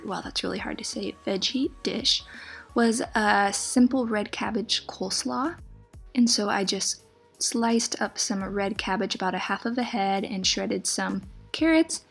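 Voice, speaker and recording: Cooking host voice-over: young woman, breathy, a bit high, upbeat and chatty, faint music under it. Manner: upbeat, chatty, enthusiastic about food. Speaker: plant-based cooking channel host. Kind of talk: scripted recipe voice-over. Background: soft background music.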